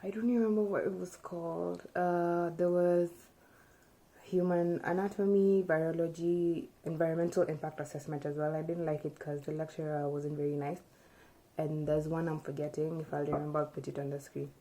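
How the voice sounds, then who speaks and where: Low mellow young woman vlogger. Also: moderate pace, low-quality recording. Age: young adult. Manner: relaxed, chatty, thinks aloud with fillers. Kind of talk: casual chat, answering tag questions. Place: small room indoors. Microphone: camera in front at close range.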